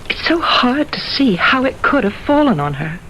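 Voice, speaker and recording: A gentle, concerned friend in a vintage radio drama. She speaks earnestly and sympathetically with lively swings of pitch, on a slightly noisy old broadcast recording. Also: moderate pace, dull audio. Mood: troubled. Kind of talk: 1970s radio drama acting.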